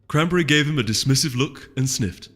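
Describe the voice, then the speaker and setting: A man's voice: medium pitch, a little fast, fairly bright tone. Man narrating a story, reverberant. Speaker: narrator, fiction reader. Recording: long reverb with music underneath.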